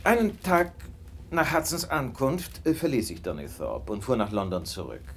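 Man acting shrewd detective, lively varied pitch, knowing, self-assured, radio drama, faint noise behind. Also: close to an everyday voice. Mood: knowing, composed. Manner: knowing, measured.

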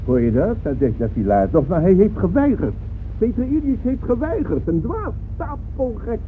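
An actor playing a blustering, scornful musician friend in a theatrical, mocking radio play manner. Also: male voice, high-pitched, sing-song, normal speed. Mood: indignant. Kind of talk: animated radio play dialogue. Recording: tinny band-limited radio play, faint noise.

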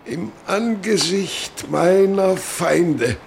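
Radio drama: troubled pastor, very slow and gravelly, halting, inward. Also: male voice, high voice, slight background noise, exaggerated voice. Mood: brooding, anguished. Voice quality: creaky. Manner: halting, brooding. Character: brooding, troubled clergyman preparing a funeral speech. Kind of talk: German radio play acting.

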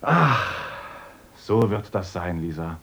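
An actor playing an earnest, idealistic young village teacher in a radio play who lectures eagerly and swings between gentle talk and rapturous visions. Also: male voice, low voice, expressive, slow, gravelly, background noise, full character voice. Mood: earnest, dreamy.

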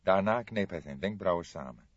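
A man's voice: sits low, lively intonation, moderate pace, no echo, lo-fi sound. A man narrating a scripted story as an audiobook reader.

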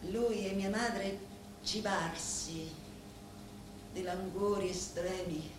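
An actress as a bitter Sicilian wife, echoing stage. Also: female voice, mid-range voice, natural voice. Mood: brooding, sensual confession. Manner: scornful, deliberate, theatrical. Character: bitter, taunting, sensual wife of a neighbourhood mob boss. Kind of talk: theatrical dramatic monologue. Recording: very echoey stage hall, faint noise.

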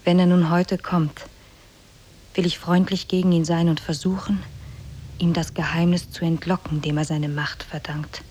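A woman's voice: lowish voice, level tone, normal speed, darkish tone, lightly acted. A woman playing a beautiful, tender and cunning captive wife in a 1950s German radio play. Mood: conspiratorial. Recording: old radio tape, faint noise.

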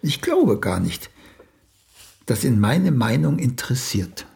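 An actor playing a proud, celebrated old playwright in a radio play, blunt, sardonic and dismissive. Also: male voice, mid-range voice, sing-song, slow pace, vocal fry, darkish tone, heavily acted. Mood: wry, musing. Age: older.

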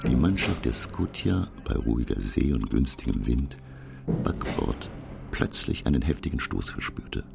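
Learned professor telling his sea adventure, super deep n gravelly, swings a lot, music behind, radio drama. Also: lively intonation, measured pace, full character voice. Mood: measured, suspenseful. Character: learned, self-assured French professor recounting his expedition. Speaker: narrator and lead character. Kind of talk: dramatic scripted narration.

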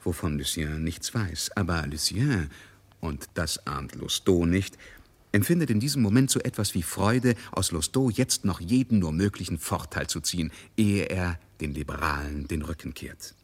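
Deep-voiced, dryly ironic narrator. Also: male voice, normal speed, breathy edge. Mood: composed, dry. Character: male narrator of a literary tale, composed observer. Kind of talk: scripted radio drama narration.

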